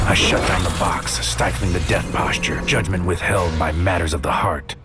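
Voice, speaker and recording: Deep-voiced brooding psychic soldier. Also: male voice. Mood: bitter, yearning. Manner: brooding, intense, poetic monologue. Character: brooding, obsessed psychic soldier, an average-seeming man. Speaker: narrator and lead character. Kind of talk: scripted first-person noir audio drama narration. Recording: music under the voice.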